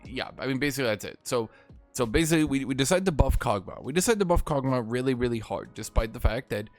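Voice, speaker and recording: A man with a slightly hoarse voice delivers a salty, sarcastic gaming rant. His pitch sits in an ordinary middle range, and soft music plays faintly behind him. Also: expressive, quick pace. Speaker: gaming video host. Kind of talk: ranting game commentary.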